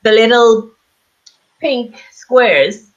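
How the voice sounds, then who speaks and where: A woman's voice: fairly high pitch, very slow. Bahamian woman guest on dull lo-fi audio. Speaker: interview guest, aromatherapy business owner and author. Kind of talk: explanatory interview answers. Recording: dull lo-fi online call audio.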